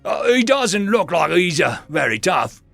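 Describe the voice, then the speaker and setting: A man's voice: high-pitched, big pitch swings, measured pace, creaky, a bit bright, dry recording, soft background music, voice pushed up, exaggerated voice. A man voicing a tough teenage orc boy with a thick accent who blusters and then stammers nervously, in a storybook reading. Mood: sheepish. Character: tough teen orc boy, loud bully turned sheepish. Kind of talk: storybook narration.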